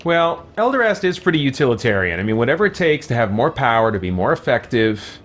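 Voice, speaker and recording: A man's voice: mid-range voice, very animated, fast, a bit bright. A man doing casual gaming commentary as a let's-play host, thinking aloud in a conversational way. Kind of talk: casual gaming commentary, answering viewer questions.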